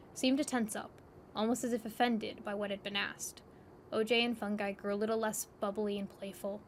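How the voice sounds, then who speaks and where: A woman's voice: medium pitch, a little hiss or hum. A woman narrator reading a scripted second-person story.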